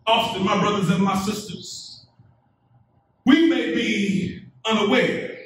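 A middle-aged man preaching a sermon in unhurried, deliberate phrases, his voice sitting unusually high for a man. He builds to emphatic peaks that invite call-and-response. The sound is heavily echoey, as if heard from the back of a church sanctuary. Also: recorded from a distance. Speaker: pastor. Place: church sanctuary, indoors, medium-sized.

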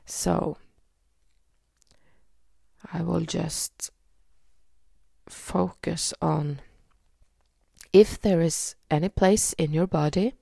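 Slow, gravelly Norwegian woman healer. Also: lowish voice, fairly even intonation, warm tone, dry recording, clean studio sound. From Norway. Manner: slow, soothing, hesitant with fillers. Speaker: energy healer. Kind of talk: guided energy session.

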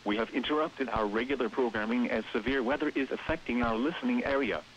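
A synthetic-sounding male announcer reads scripted weather bulletins and warnings in a flat, evenly phrased delivery. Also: medium pitch, fast. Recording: hissy, dull radio broadcast with noise. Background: radio hiss.

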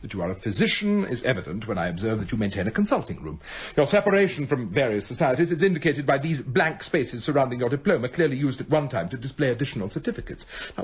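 An actor playing a brilliant, imperious male detective in a radio drama, speaking crisp, haughty with clipped deductions. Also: mid-range voice, lo-fi sound. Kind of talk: BBC radio drama acting.

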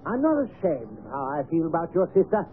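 An actor playing a forthright, lovestruck baronet in a radio mystery, speaking in a direct, gentlemanly way. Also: male voice, fairly high pitch, wildly swooping pitch, fast talker. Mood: defiant. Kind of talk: 1970s radio mystery acting. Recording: tinny, noisy old radio broadcast.

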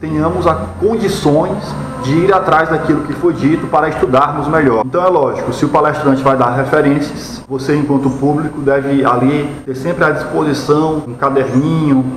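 A man in his thirties with a Brazilian accent, giving explanatory opinion commentary in a deliberate, didactic way, prone to rhetorical questions. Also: a little high, fairly even intonation. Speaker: video commentator. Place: small bare-walled room indoors. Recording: echoey room with background noise.

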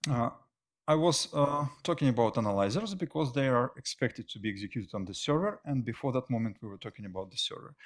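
A middle-aged man presenting technical material as a conference speaker, often pausing mid-phrase. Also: mid-range voice, lively intonation. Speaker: conference speaker, software engineer. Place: indoor stage at a tech meetup.

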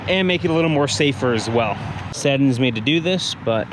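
A man's voice: mid-range voice, lively intonation, brisk. Man narrating DIY work, noisy outdoor recording. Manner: informal, thinking aloud while working. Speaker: DIY how-to video host. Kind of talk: casual hands-on tutorial narration. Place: outdoors beside a vehicle in daylight. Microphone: action camera held or mounted near him. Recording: clear outdoor background noise on action camera.

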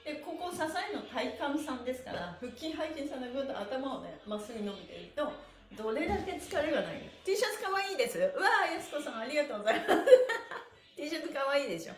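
A middle-aged woman hosting a live stretching class in an animated, cheerleading way, chatting with viewers as she instructs. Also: high voice, fast talker, faint music behind. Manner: animated, cheerleading, frequent laughing. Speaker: stretching instructor and livestream host. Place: small bare-walled indoor room with wooden floor. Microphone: camera a metre or two away. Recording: echoey room.

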